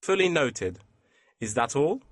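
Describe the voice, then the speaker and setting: Man acting polite print shop employee, lively intonation. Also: medium pitch, measured pace, no echo, studio quality. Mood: polite, attentive. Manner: courteous, customer-service formal. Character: polite, businesslike male printing company employee taking a customer's requests. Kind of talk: scripted exam listening dialogue.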